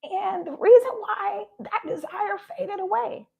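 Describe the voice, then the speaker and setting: A woman's voice: very high-pitched, unhurried, vocal fry, warm tone, dull audio. A woman giving a heartfelt spiritual talk, her voice shaky and sounding close to tears. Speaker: spiritual vlogger.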